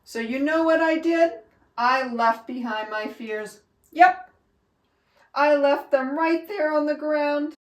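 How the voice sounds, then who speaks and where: Female read-along teacher: higher-pitched, expressive, instructive storybook delivery, recorded a little far from the mic with room echo. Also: takes it slowly. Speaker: teacher reading aloud to children. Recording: echoey room, distant from mic.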